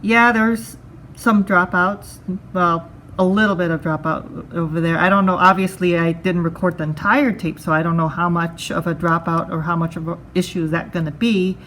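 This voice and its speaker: A woman's voice: fairly low pitch, fairly even intonation, normal speed, fairly bright tone, a little hiss or hum, low-quality recording. A woman giving a casual hands-on product review, thinking aloud in a conversational way.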